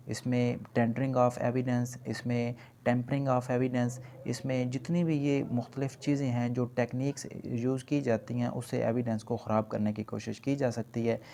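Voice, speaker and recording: The soft-edged, crackly voice of a Pakistani man at the front of a law class, patiently taking students through a statute. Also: mid-range voice, gravelly. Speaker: law lecturer. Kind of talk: explanatory lecture mixing in English legal terms.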